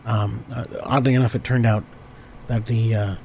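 A man with a cold, hoarse and stammering, hosting an improvised comedy podcast and constantly correcting himself. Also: lowish voice, level tone, moderate pace, darkish tone, a little hiss or hum. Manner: rambling, stammering, self-correcting. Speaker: podcast host.

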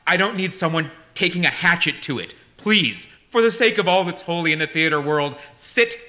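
A man's voice: medium pitch, lively intonation, fairly quick, sharp tone. A man playing a long-suffering theatre director, dry, wry and articulate, in a comedy sketch. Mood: exasperated. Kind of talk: audio comedy sketch acting.

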